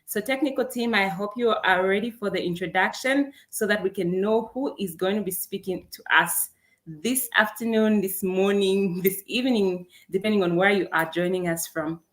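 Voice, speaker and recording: A woman's voice: mid-range voice, moderate pace. Upbeat Zambian-born woman hosting online. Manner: upbeat, welcoming, reads chat names aloud. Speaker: online event host. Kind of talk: live webinar hosting.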